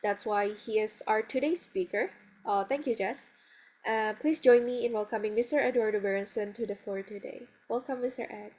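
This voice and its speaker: A woman's voice: medium pitch, normal speed, a little mellow. A young woman hosting an online event, introducing the speaker with some hesitant fillers over a video call. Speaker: event host.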